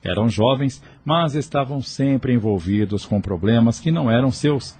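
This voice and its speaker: A man's voice: lowish voice, mellow, a little hiss or hum. A man with a Brazilian accent reading scripted narration for an audio novel. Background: music.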